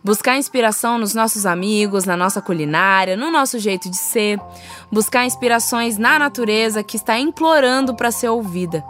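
A woman's voice: fairly high pitch, normal speed, a bit bright, faint music behind. A Brazilian woman hosting a podcast in a conversational style, effusive and encouraging. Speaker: podcast host.

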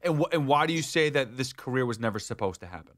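A man's voice: mid-range voice, animated, fast talker, a bit bright. A man in his late thirties hosting a podcast, asking long, rambling questions with many restarts. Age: 30s. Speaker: podcast host. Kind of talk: interview questions.

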